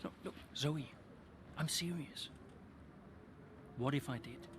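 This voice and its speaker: An actor playing a weary, proud but soft-hearted veteran racing driver in a video game cutscene. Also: male voice, mid-range voice, slow, lightly acted. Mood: earnest, defensive. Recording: noisy background ambience.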